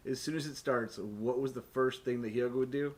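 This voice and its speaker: A man's voice: mid-range voice, steady tone, fairly quick, darkish tone. A man hosting a tabletop game stream as game master, talking casually and jokily with plenty of swearing. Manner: profane, joking, laughs often.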